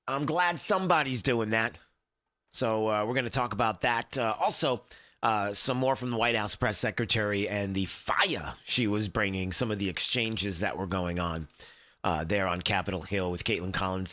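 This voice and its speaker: A man's voice: on the low side, bright, forceful tone. Male radio host with a cold. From Southwest Florida. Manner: rambling, many filler words. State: under the weather, deeper voice than usual. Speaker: radio talk show host. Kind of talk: casual talk radio.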